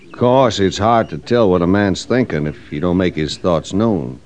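An actor playing a talkative, brooding cavalry veteran in an old radio western, speaking in a folksy American drawl. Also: male voice, low voice, normal speed, darkish tone, close to an everyday voice. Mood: reflective. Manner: folksy drawling frontier soldier speech.

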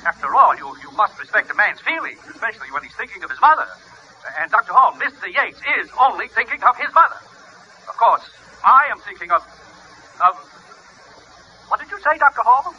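A fussy, self-important chairman in a vintage radio comedy. His voice is extremely high and piercingly bright. He stammers and corrects himself insistently, on a muffled old broadcast. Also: very animated, a little fast, slight background noise, full character voice. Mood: flustered, indignant. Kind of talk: 1940s-50s radio comedy acting.

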